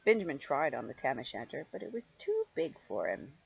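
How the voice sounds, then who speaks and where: Expressive low-voiced older woman reading aloud. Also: vocal fry, breathy edge, muffled recording. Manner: expressive, playful, drawn-out emphasis on key words. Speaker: grandmother reading stories to children. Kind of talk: storybook read-aloud with chatty asides.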